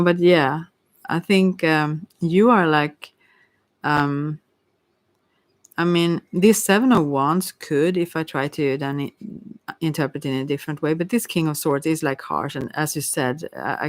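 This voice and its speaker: Halting woman casually reading tarot, dry recording. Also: deep voice, no room sound. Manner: halting, thinking aloud. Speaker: tarot reader.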